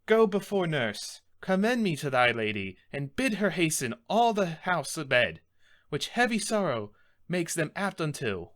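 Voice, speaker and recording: Man acting an exasperated, animated Shakespearean friar. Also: a little high, measured pace. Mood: urgent instructing. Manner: declamatory, rebuking, emphatic. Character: stern, exasperated friar scolding a despairing young man. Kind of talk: Shakespearean theatrical monologue.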